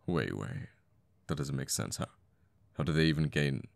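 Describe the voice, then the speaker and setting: An actor playing a conflicted, protective assassin boyfriend in an intimate ASMR audio roleplay, speaking tenderly and haltingly with pauses. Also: male voice, extremely low pitch, lively intonation, creaky, no room sound, exaggerated voice. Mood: alarmed, hurt.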